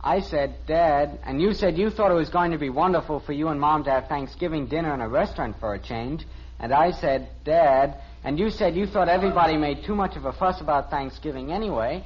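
An actor playing a hapless, earnest American teenage son who rambles, in an old-time radio sitcom. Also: male voice, on the high side, fairly quick, breathy edge. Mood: rambling explanation. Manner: rambling, boyish. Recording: muffled old radio recording.

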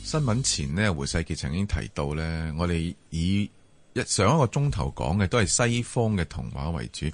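A man's voice: low voice, sing-song, moderate pace. A man with a Hong Kong Cantonese accent co-hosting a casual late-night radio discussion, talking colloquially with frequent exclamations. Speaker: radio talk show co-host.

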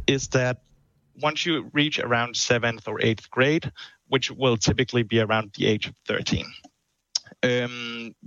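A man from Denmark with a Danish accent, calling in to a talk show and explaining things with many pauses. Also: medium pitch, a bit bright, no room sound, lo-fi sound. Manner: hesitant, many ums and restarts. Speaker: caller. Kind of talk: call-in conversation.

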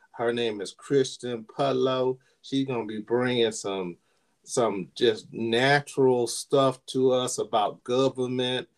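A man hosting his own podcast in an upbeat, hype style with casual slang. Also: mid-range voice. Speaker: podcast host.

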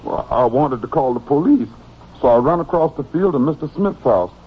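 An actor playing a frightened, weary Southern farmhand suspect in a radio drama, deferential, halting and pleading. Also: male voice, medium pitch, lively intonation, husky. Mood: anxious, insistent. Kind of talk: old-time radio drama acting. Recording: muffled old radio recording.

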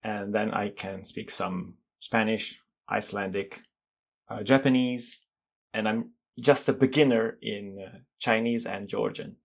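Gravelly Italian-accented man, low voice. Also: steady tone, measured pace. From Italy. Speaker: guest, polyglot and language consultant. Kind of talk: casual interview conversation.

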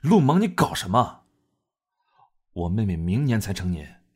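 A man's voice: fairly low pitch, sing-song, measured pace. A man playing a reserved, commanding young military officer in an audio drama, speaking tersely. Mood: indignant. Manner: terse, restrained. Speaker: voice actor. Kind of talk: modern Chinese audio drama acting.

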